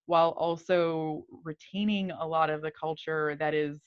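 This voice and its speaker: Lowish woman guest on a dull lo-fi mic, trails and restarts as she thinks. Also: steady tone, a little slow, clean tone, no room sound. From United States. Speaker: panel guest. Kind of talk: casual panel chat.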